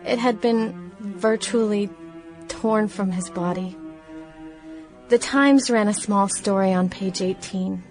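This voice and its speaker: A woman's voice: mid-range voice, slow pace, darkish tone, lightly acted. Woman acting a grieving sister, solemn over music. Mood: anguished, bitter. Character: grieving young woman writing diary after brother's death. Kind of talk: dramatic reading of diary entries in radio play. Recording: music bed under the voice.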